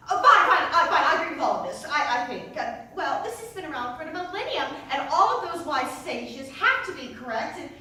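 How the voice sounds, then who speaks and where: A very echoey, distant recording of a woman acting as a wry churchgoing mother full of doubt. She delivers a theatrical comic monologue in a high, animated voice, halting and wandering off on digressions. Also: full character voice. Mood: flustered, doubting. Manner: rambling, halting, digressive, self-interrupting. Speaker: actor performing a monologue. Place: indoor staged reading in a reverberant room. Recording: echoey room, distant mic, faint noise.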